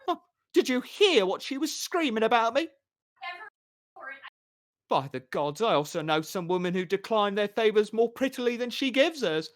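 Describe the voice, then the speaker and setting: An audiobook reader voicing a vain, flamboyant male poet who talks in a raised, theatrical, excitable way. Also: medium pitch, moderate pace, voice pushed up, close to an everyday voice.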